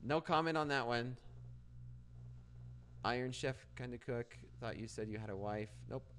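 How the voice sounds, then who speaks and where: A man from Canada talks through a live trading stream at home, answering viewers' comments in a loose, conversational way. His voice sits at an ordinary middle pitch. A faint hum runs underneath. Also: moderate pace, fairly bright tone. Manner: reads chat aloud and answers, with frequent restarts. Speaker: livestream trading host.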